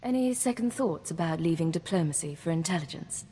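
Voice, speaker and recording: A woman voices a cool female intelligence agent in a game, pitched slightly low. She is self-assured, composed and wry. Long reverb and faint noise surround the voice. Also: lively intonation, brisk, dark tone, natural voice. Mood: composed, probing. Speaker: game player character. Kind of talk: scripted game dialogue acting.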